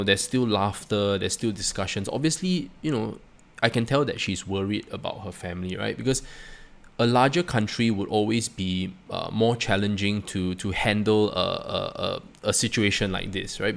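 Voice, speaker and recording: A middle-aged man with a Singaporean accent talking reflectively and casually, halting and repeating words, often checking in with "right?". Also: fairly low pitch, creaky. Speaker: host of a personal finance channel.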